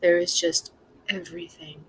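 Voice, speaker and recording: A woman's voice: lowish voice, level tone, normal speed, poor audio. A woman speaking off the cuff as a spiritual teacher, earnest and emphatic, building up her points in repeated phrases. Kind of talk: impromptu spiritual talk. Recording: clear background noise.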